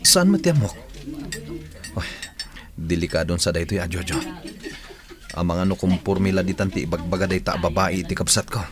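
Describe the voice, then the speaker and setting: A man's voice: low voice, animated, normal speed, pauses often. A man with an Ilocano accent playing a troubled office worker and protective older brother in a radio drama. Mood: casual, indignant.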